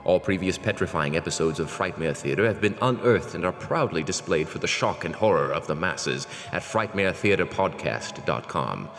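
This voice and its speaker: A man announcing a horror podcast in a theatrical, grandiose, ominous scripted style. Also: low voice. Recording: long cavernous reverb over music.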